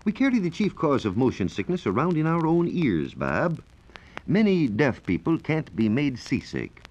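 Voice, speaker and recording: An American man working as a science reporter gives a formal, measured, scripted explanation in the style of 1940s educational radio. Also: on the high side, wildly swooping pitch, darkish tone. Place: radio studio. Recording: dull, lo-fi 1940s radio recording.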